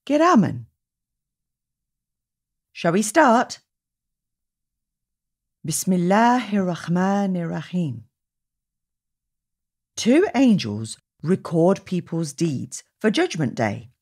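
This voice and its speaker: A woman reading aloud to young children as a storytime host, with expressive, deliberate phrasing. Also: fairly low pitch, breathy edge. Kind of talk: read-aloud narration for children.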